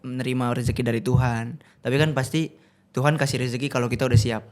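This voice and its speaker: A man, a podcast guest telling stories in casual chat, animated and laughing often. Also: mid-range voice, fast talker, heavy background noise.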